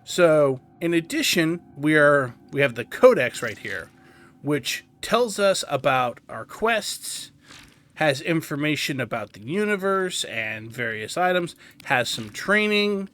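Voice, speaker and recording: A man giving casual tutorial commentary on a video game, explaining things in deliberate, paused phrases. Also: on the high side, big pitch swings, a bit bright. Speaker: gaming guide host.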